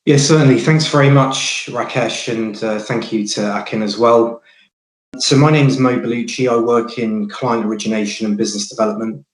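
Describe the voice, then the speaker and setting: Flat-voiced man in an echoey room. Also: mid-range voice, moderate pace. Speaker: banker. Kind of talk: panel self-introduction.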